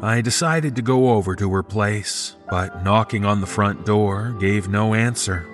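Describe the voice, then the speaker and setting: A male narrator reads a scripted horror story in the first person. His voice is on the low side and his pace is very slow. Music plays behind him.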